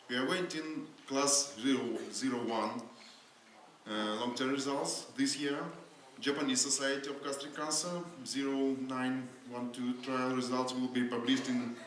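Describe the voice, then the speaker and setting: A non-native English-speaking man giving a surgery lecture at a conference, halting, with many fillers and restarts. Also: medium pitch, a bit bright. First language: not English. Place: lecture hall. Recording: very echoey hall with faint noise.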